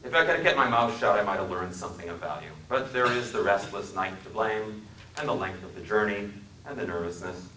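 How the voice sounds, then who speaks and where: A man from Wisconsin giving a dramatic reading in a library, measured and dryly wry in his storytelling. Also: fairly low pitch, sharp tone, reverberant, recorded from a distance, slight background noise, low-quality recording. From Wisconsin, USA. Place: public library room.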